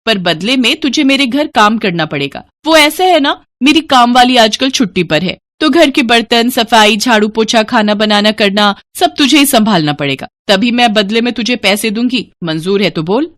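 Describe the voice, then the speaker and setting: A woman's voice: fairly high pitch, expressive, moderate pace, few pauses, a bit bright. A woman voicing a stingy, bossy sister-in-law in a moral storybook tale, with a haughty, scolding manner. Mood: bossy, bargaining.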